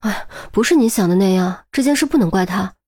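A woman's voice: medium pitch, expressive, a little fast, a little mellow, lightly acted. A woman narrator plays a timid young man in an audiobook. He sounds flustered and helpless, with a weak, hesitant, pleading delivery. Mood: helpless, meek. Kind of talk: audiobook character dialogue.

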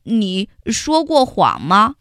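A woman voicing a friendly, honest little ant who explains things slowly and patiently in a lower voice. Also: wildly swooping pitch, fairly bright tone, dry recording, clean studio sound, exaggerated voice. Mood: earnest.

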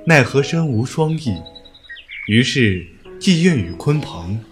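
Man as a courteous, expressive young scholar. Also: mid-range voice, takes it slowly, faint music behind. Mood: musing. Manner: formal, literary, amused. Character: courteous, gentle young scholar of old times. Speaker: voice actor. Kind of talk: period audio drama acting.

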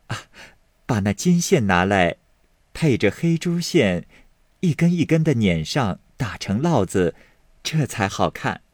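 A man voicing a composed young lady who speaks in a measured, deliberate way, recorded dry and close. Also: mid-range voice, darkish tone, no echo.

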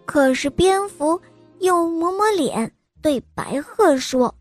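A young woman hosting a children's bedtime story show, narrating in a sweet, sing-song, gently coaxing manner. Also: extremely high pitch, expressive, slow, soft background music.

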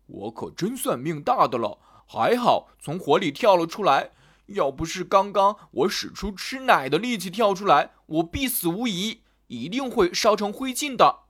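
Male narrator's hot-headed coal figure: loud, lively, urgent. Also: high-pitched, normal speed, breathy edge, heavily acted. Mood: relieved. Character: hasty impatient male lump of coal.

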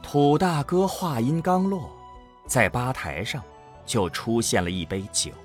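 A man's voice: mid-range voice, lively intonation, unhurried, faint music behind. A man narrating a scripted science fiction audio drama as a storyteller.

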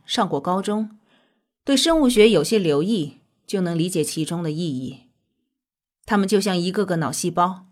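An actress playing a composed, authoritative scientist in an audio drama, explaining in a measured, instructive way. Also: female voice, medium pitch, darkish tone, natural voice. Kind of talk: scripted audio drama dialogue.

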